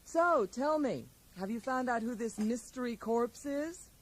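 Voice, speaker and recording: A woman's voice: a little high, animated, unhurried. An actress playing a wry, sceptical, practical woman in a radio drama, with a dry and teasing manner. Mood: wry, curious. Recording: mild hiss, 1980s radio drama.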